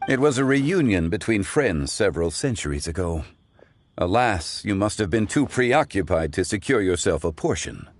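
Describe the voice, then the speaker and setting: An actor playing a calm, scholarly consultant in a video game, speaking in a measured, formal, philosophical way. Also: male voice, low voice, no room sound.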